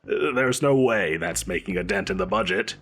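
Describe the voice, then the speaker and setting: Man playing a deep, pompous, flustered supervillain boss. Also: animated, normal speed, fairly bright tone. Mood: defensive, justifying. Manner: theatrical, haughty, stammering when defensive. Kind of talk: scripted audio roleplay acting.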